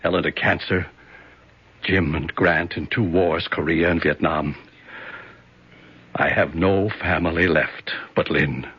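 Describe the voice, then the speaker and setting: A man's voice: sits low, fairly even intonation, takes it slowly, long pauses, slightly rough, dry recording, heavily acted. An actor playing a stern, worried, domineering middle-aged senator and father in a 1970s radio drama, with a blustery, authoritative manner. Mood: grave, burdened. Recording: dull, band-limited vintage radio recording.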